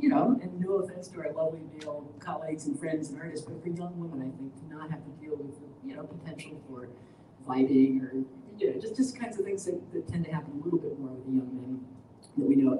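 A woman jazz educator talks with animated enthusiasm, piling on asides as she goes. She is picked up from a distance in a large, echoing room. A steady background noise sits under her voice. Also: low voice, fast. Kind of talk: panel discussion. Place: large indoor studio room, seated panel. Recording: roomy, distant, noisy background.

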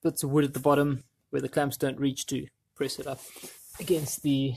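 A man presenting a hands-on how-to in a casual, offhand way, thinking aloud as he works, with a husky, creaky voice. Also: mid-range voice, level tone, normal speed. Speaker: DIY video presenter.

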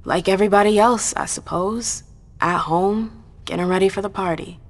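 An actress playing a defiant, sharp-tongued vampire socialite in a video game, casual and sarcastic in manner. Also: female voice, medium pitch, moderate pace, close to an everyday voice. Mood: guarded. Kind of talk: dramatic game cutscene acting.

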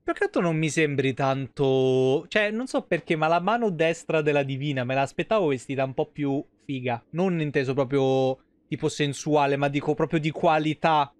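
A man's voice: a little high, normal speed, few pauses, no room sound. A man in his thirties with a Roman Italian accent, commentating casually on a game in slangy, rambling talk. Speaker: game streamer. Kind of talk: casual live gameplay commentary.

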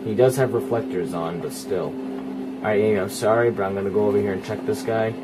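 A man's voice: lowish voice, a little fast, over loud music. A man giving casual, profane commentary as he plays a horror game, talking straight at the game. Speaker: gamer playing and commenting. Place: indoors, filming a TV screen while playing. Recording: noisy room capture with game sound.

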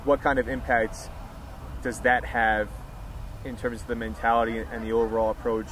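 A man interviewing athletes after a match, putting questions in measured, deliberate phrases, recorded outdoors. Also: medium pitch, level tone, fairly bright tone, rough recording. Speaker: sports interviewer. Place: outdoor sports ground. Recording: outdoor background noise.

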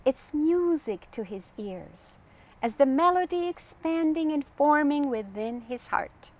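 An older woman reading a children's story aloud as a storytime host, in a gentle, expressive manner that addresses young listeners. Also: high-pitched, a little slow. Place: outdoors on a garden bench.

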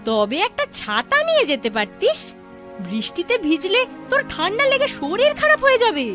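Woman voicing a very high-pitched, protective village sister. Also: very animated, moderate pace, fairly bright tone, faint music behind, exaggerated voice. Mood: concerned. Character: caring, outspoken young village woman, protective elder sister type. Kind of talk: cartoon story dialogue.

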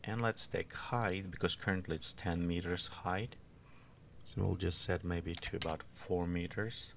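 A man who is not a native English speaker gives an informal, step-by-step software tutorial in halting, unscripted phrases. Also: low-pitched, steady tone, gravelly, noisy background.

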